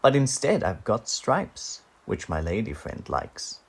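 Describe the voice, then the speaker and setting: A man's voice: on the low side, big pitch swings, slow pace, husky, exaggerated voice. A man voicing a proud, dandyish, self-satisfied zebra from a children's storybook, suave and pleased with himself. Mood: smug.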